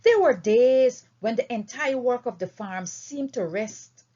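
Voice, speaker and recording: A woman reading a story aloud in an expressive, deliberate and emphatic way. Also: a little high. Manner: emphatic, deliberate phrasing with dramatic swings. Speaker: audiobook reader. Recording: dull, lo-fi recording.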